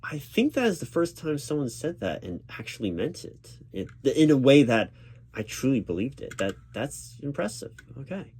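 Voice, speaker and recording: A man playing a job interviewer in a spoken ASMR roleplay, talking in a reassuring, halting way with repeated words. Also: mid-range voice. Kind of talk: ASMR roleplay, spoken aloud rather than whispered. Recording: steady keyboard typing noise behind voice. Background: computer keyboard typing.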